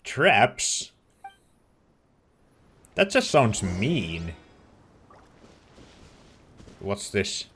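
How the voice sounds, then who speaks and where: A woman's voice: a bass voice, sing-song, extremely slow, long pauses, fairly bright tone, soft background music. A woman making casual, laid-back short remarks as she reacts to a video game on a let's play.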